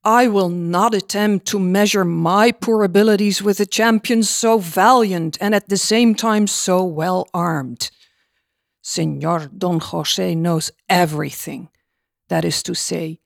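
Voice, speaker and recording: A pompous priest from a literary storybook, acted out by a woman reader, feigning humility in theatrical, oratorical phrases. The delivery is slow and creaky, with the pitch lifted a little, in a dry close recording. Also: no echo. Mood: mock deference. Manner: theatrical mock-humble oratory.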